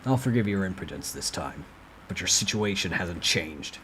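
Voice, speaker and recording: A man's voice: deep voice, lively intonation, normal speed, a bit bright, a little hiss or hum, pitched below the performer's own voice, rough recording. A man voicing an intimidating young man, a cold and ruthless regressor who speaks in curt, threatening commands in a dramatic novel reading. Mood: cold, stern.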